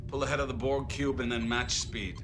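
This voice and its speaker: An actor playing a commanding starship captain giving terse orders. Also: male voice, medium pitch, fairly even intonation, a little fast, music in the background, natural voice.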